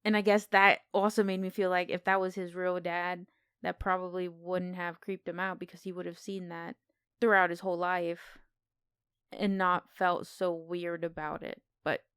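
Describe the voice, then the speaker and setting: A woman's voice: on the low side, fairly even intonation, moderate pace, fairly bright tone, clear, resonant tone, no room sound, clean studio sound. A woman co-hosting a podcast, discussing film in a casual, conversational way. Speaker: podcast co-host.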